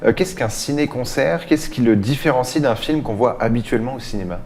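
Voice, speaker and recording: A man hosting a radio interview, putting prepared questions with somewhat halting, repetitive phrasing. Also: mid-range voice, darkish tone.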